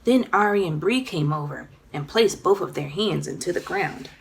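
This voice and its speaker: A woman narrating a children's story aloud in an expressive, dramatic storytelling manner. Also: fairly low pitch, normal speed, gravelly. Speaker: children's author reading own book.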